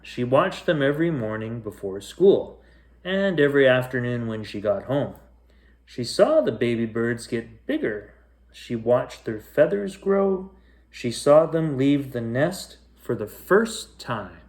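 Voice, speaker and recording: Guy reading a kids bedtime book, lively up and down delivery, phrase by phrase. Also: mid-range voice, measured pace. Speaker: bedtime story reader. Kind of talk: expressive children's storybook narration.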